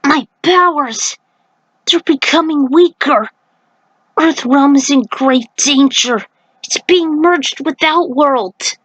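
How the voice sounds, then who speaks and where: A woman's voice: high-pitched, slow, a bit bright, dry recording, pitched above the performer's own voice, low-quality recording. A woman voicing a grave, protective male thunder god in a story, with solemn, emphatic declarations. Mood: strained, grave.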